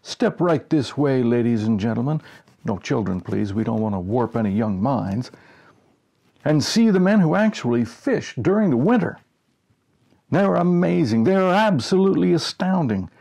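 A man voicing a loud, excitable carnival barker in a declamatory showman's manner, from a humorous story. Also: medium pitch, moderate pace, vocal fry. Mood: showy, amazed.

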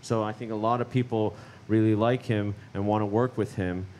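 A man's voice: on the low side, fairly even intonation, moderate pace, darkish tone, slight background noise. Laid-back man chatting on a panel. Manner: laid-back, lots of "you know". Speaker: gallery founder, panelist. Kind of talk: casual panel chat. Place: large gallery, indoors. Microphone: handheld mic.

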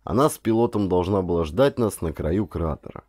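Low, mellow male audiobook narrator. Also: lively intonation, brisk, dry recording, clean studio sound. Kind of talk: dramatic scripted audiobook narration, then direct address to listeners.

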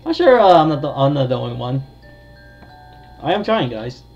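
A man's voice: medium pitch, very animated, moderate pace, vocal fry, a little mellow, muffled recording, soft background music. A man giving casual commentary on a horror game, talking to his viewers and thinking aloud as he plays. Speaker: gamer, video host.

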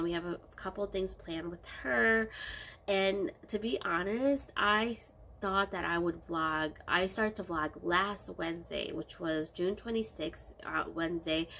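A young woman talks to her camera from a small bedroom, her voice stuffy and congested from a cold. A gravelly fry runs under her easygoing, rambling speech full of ums. Faint room noise sits behind her. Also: fairly low pitch. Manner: rambling, many ums, relaxed diary talk. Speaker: vlogger. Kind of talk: casual vlog chat.

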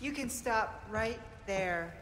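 A woman's voice: medium pitch, expressive, slow, background noise. An actress playing a sardonic, commanding female spy in her thirties, speaking in a controlled, dry way in a video game. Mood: cool, teasing. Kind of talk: video game cutscene acting.

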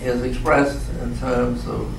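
Older man, gravelly voice, slow halting talk, handheld mic, roomy hall heard from afar. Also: medium pitch, little pitch movement. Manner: slow, halting and thoughtful, many fillers. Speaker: guest speaker. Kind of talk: conversation on stage. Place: lecture hall, on stage. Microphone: handheld mic, recorded from far. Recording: roomy hall audio from far off, noisy.

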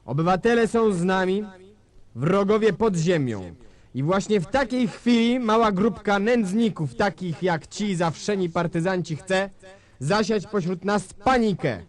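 Bombastic male dictator, high-pitched, pompous orator addressing his nation in a stage drama. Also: animated, a little slow. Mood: fervent, bombastic. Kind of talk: theatrical political speech.

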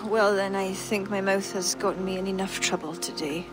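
A woman's voice: mid-range voice, fairly even intonation, normal speed, music in the background, lightly acted. An actress playing a brash, tipsy Irish woman poet with a theatrical, wry way of talking.

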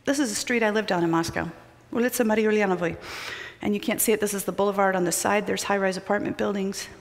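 A middle-aged woman preaches from a pulpit, her voice carrying a long reverb through a large church sanctuary. She tells personal stories, rising loud and urgent and then dropping to a hushed, almost whispered intensity. Her pitch sits in a middle register. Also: steady tone, fast talker.

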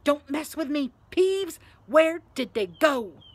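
A woman's voice: high-pitched, big pitch swings, normal speed, creaky, bright, forceful tone, pitched above the performer's own voice, exaggerated voice. Woman putting on an angry, demanding caretaker man. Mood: impatient anger. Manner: demanding, cross. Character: angry, impatient male castle caretaker.

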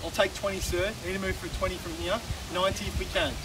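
A man in his thirties with an Australian accent, calling a live house auction outdoors in brisk, persuasive patter that keeps repeating the bids. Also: high-pitched, level tone. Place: outdoors on a suburban street in front of a house. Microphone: camera filming from some distance, no mic seen. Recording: distant voice with loud street and traffic noise.

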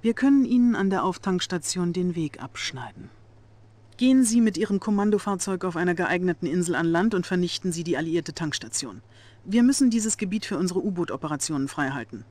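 A woman's voice: on the low side, animated, brisk, a little mellow. An actress playing a young, ruthless female Soviet intelligence officer, controlled, icy and authoritative, in a game cutscene. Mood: commanding. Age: young adult. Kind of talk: dramatic military briefing.

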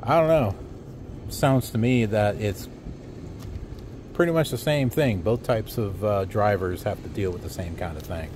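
A man's voice: lowish voice, unhurried, darkish tone, a little hiss or hum. A middle-aged man vlogging outdoors into a phone held at arm's length, rambling sarcastically with lots of fillers. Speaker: trucking vlogger. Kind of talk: casual outdoor vlog rant. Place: outdoors by a block wall.

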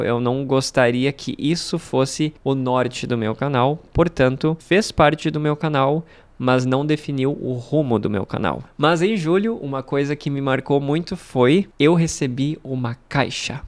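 Man, thirties, Brazilian accent, teacher vlogging at home, mid-pitched, clear resonant voice, lively hand-waving delivery. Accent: Brazilian Portuguese. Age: 30s. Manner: animated, gestures a lot with both hands, laughs between phrases. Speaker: language teacher and channel host. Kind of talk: casual talking-head vlog. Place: home room with bookshelves. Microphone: studio mic on a stand in frame.

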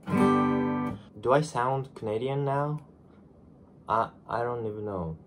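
A man's voice: medium pitch, slow pace, soft background music. A Japanese man in his twenties with a Japanese accent, chatting casually to camera in his bedroom, groggy from just waking up. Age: 20s. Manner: chatty, self-deprecating, restarts phrases. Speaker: vlogger. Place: small bedroom, indoors. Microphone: camera in front at desk.

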